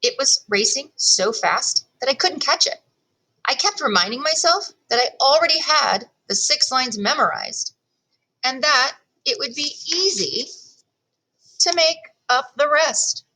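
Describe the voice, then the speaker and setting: Animated woman reading a children's story. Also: sits high, low-quality recording. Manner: animated, storytelling delivery with deliberate emphasis. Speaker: teacher reading a chapter book aloud. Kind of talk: expressive children's storybook narration in first person.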